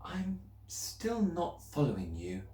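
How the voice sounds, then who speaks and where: A man reading aloud and voicing a kind young woman schoolteacher who speaks gently and patiently. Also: fairly high pitch, huge pitch swings, slow pace, pitched above the performer's own voice, exaggerated voice. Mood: gentle. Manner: gentle, patient, softly questioning. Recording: roomy, distant mic.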